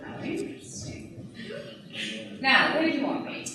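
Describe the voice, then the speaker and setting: A woman's voice: high-pitched, animated, a little slow, crowd noise. A woman playing a vain, condescending opera singer who talks down to others in a grand manner. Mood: haughty. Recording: echoey room, distant mic, noticeable hiss.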